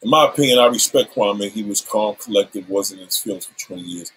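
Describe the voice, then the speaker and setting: A man's voice: lowish voice, fairly quick, a bit bright, slightly rough, rough recording. A man in his seventies ranting on a livestream, combative and profane, issuing threats and calling out rivals. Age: 70s.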